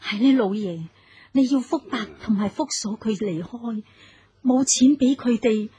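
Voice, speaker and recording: An actress playing a young, dutiful, self-sacrificing daughter-in-law in a Cantonese radio drama, soothing and pleading deferentially. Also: female voice, on the high side, unhurried, dark tone, husky.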